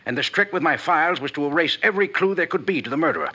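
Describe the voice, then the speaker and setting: An actor playing an anxious, fatalistic male private eye in a 1950s radio drama, speaking in a nervous, halting, mournful way. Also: fairly high pitch, animated, bright, forceful tone, dull audio. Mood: earnest, uneasy.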